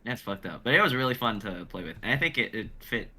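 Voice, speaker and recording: A man's voice: fairly low pitch, very animated, fast, sharp tone. A young man on a group livestream, moving between casual chat and dramatic reading of a script. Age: young adult.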